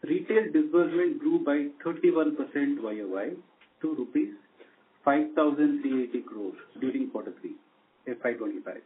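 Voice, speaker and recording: A man's voice: a little high, lively intonation, moderate pace, a little hiss or hum. A man with an Indian accent formally reading out a scripted corporate earnings presentation as a company executive on a conference call. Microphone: conference call line. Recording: tinny narrowband conference phone line.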